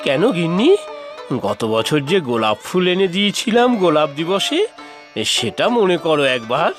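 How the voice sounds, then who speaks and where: A man's voice: a little high, big pitch swings, normal speed, faint music behind. A man voicing a hapless, placating henpecked Bengali husband in a cartoon, with a theatrical delivery. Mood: pleading, exasperated. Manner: pleading, exasperated, theatrical. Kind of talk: cartoon voice acting.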